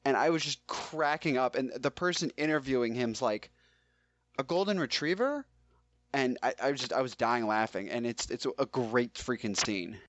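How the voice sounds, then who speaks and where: A man co-hosting a podcast, chatting casually in a halting, conversational way. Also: medium pitch, lively intonation, a bit bright, dull audio. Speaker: podcast co-host. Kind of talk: casual chat.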